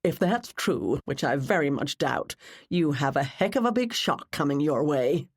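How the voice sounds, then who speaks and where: A woman's voice: sits low, expressive, moderate pace, breathy edge. A woman voicing a cruel, wealthy wife in an audiobook, mocking others with bored, drawling disdain. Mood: smug.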